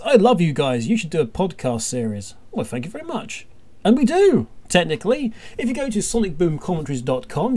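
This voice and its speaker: A man's voice: a little high, dark tone. A man hosting a casual group podcast, bantering and reading things out with a wry, exasperated manner. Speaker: podcast host.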